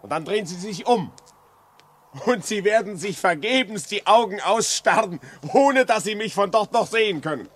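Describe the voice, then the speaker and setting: Very high-pitched, overwrought mocking journalist. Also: male voice, lively intonation, normal speed, fairly bright tone. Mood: mocking, gloating. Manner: theatrical, taunting. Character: mocking, overexcited male journalist sneering at ghosts. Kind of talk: 1950s radio drama acting.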